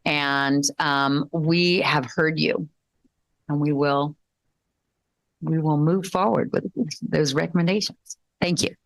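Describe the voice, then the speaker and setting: A woman's voice: deep voice, steady tone, takes it slowly, gravelly, pauses often, dry recording, rough recording. A woman board member giving encouraging, formal remarks to student presenters. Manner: warm, encouraging.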